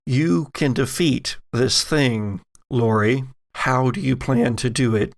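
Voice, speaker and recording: A man running a tabletop role-playing game as host, narrating deliberately and dramatically in short phrases. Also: mid-range voice, creaky, dry recording, clean studio sound. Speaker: game master and podcast host.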